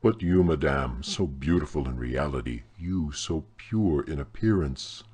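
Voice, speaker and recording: A man's voice: extremely low pitch, expressive, measured pace, a little mellow, husky, full character voice. A man voicing an earnest, solemn young Puritan officer torn by doubt, in a storybook reading.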